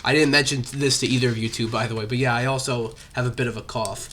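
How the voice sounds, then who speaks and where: Bright, rambling young male podcast host with a slight cough, casual chat, lots of "uh" and "like". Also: medium pitch, steady tone, fast, a little hiss or hum. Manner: rambling, many fillers. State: has a cough.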